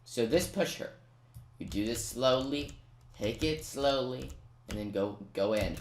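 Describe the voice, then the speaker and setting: A man talks casually through a video game at a medium pitch, poking fun at himself and then playfully bragging. The recording is roomy and noisy, with keyboard clicks behind his voice. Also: measured pace. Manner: self-mocking, playfully boastful. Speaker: gaming video maker. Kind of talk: casual gameplay commentary.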